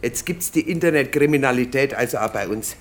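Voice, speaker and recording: A woman's voice: extremely low pitch, brisk, slight background noise. An actress playing an older, chatty and blunt country policewoman with a southern German accent in a radio drama. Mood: chatty, gossipy. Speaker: actor in radio crime drama. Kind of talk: naturalistic radio drama acting.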